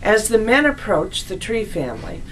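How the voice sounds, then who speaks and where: A woman narrating a scripted children's story as a storyteller, in a deliberate, expressive read-aloud manner. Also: medium pitch, a little hiss or hum, rough recording.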